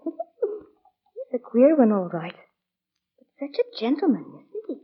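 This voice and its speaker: High-voiced, chatty young woman. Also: wildly swooping pitch, takes it slowly, slightly rough, heavily acted. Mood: polite. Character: chatty, cheerful young London blonde daughter. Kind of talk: 1940s radio drama acting. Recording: muffled old radio recording, faint music.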